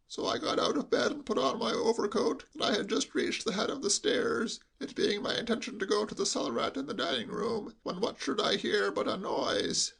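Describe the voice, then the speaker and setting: A man reading a story aloud and voicing a timid old male butler with a high, bleating, quavering voice and a slow, deferential, hushed way of talking. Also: gravelly, slightly rough, exaggerated voice.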